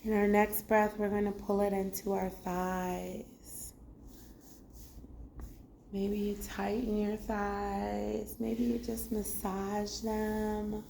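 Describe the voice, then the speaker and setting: A woman leading a guided body scan meditation as a teacher, giving gentle, unhurried instructions with long pauses. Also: medium pitch, little pitch movement, vocal fry, slight background noise. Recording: roomy echo. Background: music.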